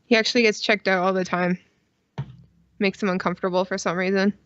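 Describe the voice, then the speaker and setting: A woman's voice: medium pitch, steady tone, a little fast, fairly bright tone, smooth, dry recording, dull audio. A woman co-hosting a casual live stream, bantering in a crude, teasing, repetitive way and swearing freely. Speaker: podcast co-host on a live stream.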